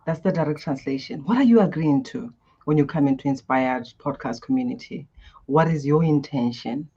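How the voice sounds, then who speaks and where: Expressive woman. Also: sits low, normal speed, breathy edge, dull audio. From Botswana. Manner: expressive, guides listeners through breathing. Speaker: guest coach. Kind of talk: animated interview answers.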